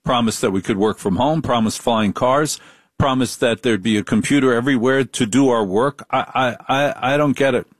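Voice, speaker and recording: Older man, radio host, wry joking banter, dry close studio sound, mid-pitched voice. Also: moderate pace, no room sound. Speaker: late-night radio host. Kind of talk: casual radio talk.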